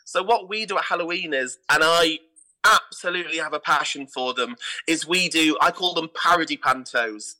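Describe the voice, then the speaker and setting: A man's voice: a little high, moderate pace, sharp tone, poor audio. A British man speaking enthusiastically and conversationally as a guest in an interview about his work. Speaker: guest, artistic director of a pantomime theatre company.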